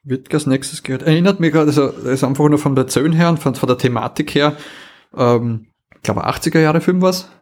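A man's voice: fairly high pitch, brisk, a little mellow. A man with an Austrian accent chatting casually as a podcast co-host, rambling and repeating his words as he goes. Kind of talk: casual chat.

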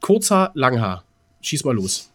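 A man's voice: medium pitch, big pitch swings, unhurried, slight background noise. A middle-aged German man in casual podcast banter as co-host, teasing and repeating himself as he looks for words.

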